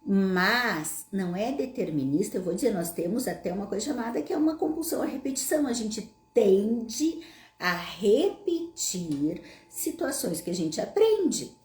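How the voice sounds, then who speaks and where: A woman's voice: medium pitch, sing-song, normal speed, darkish tone, echoey room. A woman with a Gaúcho Brazilian accent, hosting her own show, who talks through questions from her audience in a casual, explanatory way, often asking them rhetorical questions. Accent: Gaúcho Brazilian Portuguese. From Brazil, Rio Grande do Sul. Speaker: psychologist host answering audience questions.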